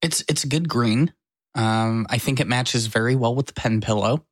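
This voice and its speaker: A man's voice: mid-range voice, moderate pace, no room sound, studio quality. An American man co-hosting a podcast in casual, wry and self-deprecating conversation. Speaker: podcast co-host. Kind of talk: casual chat.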